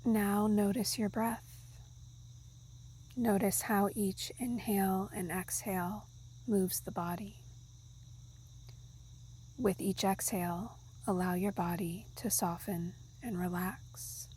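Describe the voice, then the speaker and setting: Slow, soft-spoken woman guiding meditation. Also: mid-range voice, fairly even intonation, a little hiss or hum, poor audio. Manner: soft, soothing, breathy half-whispered delivery. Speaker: yoga nidra guide. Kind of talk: guided meditation narration.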